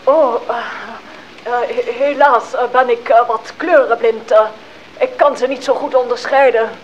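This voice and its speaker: An actor playing a smooth, self-satisfied court minister with a courtly, flattering manner in a Dutch audio fairy tale. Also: mid-range voice, a little slow, dull audio, slight background noise, close to an everyday voice.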